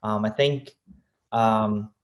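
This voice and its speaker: A man's voice: on the low side, steady tone, extremely slow. A young man from Colombia answering interview questions in a thoughtful way, with many fillers and restarts. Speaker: guest, real estate agent.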